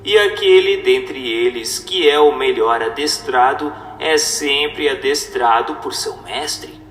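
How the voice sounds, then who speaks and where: Male narrator, slow and didactic, oratorical delivery in an echoey hall sound with music behind. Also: medium pitch, fairly bright tone. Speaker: narrator reading a spiritist text. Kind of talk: dramatized scripted reading, oratorical.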